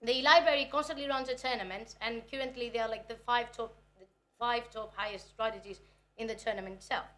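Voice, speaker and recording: A woman's voice: on the high side, steady tone, fairly quick, a bit bright. A young woman from Greece, a native Greek speaker, giving a nervous, chatty conference talk about research. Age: 20s. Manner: nervous, chatty, self-deprecating.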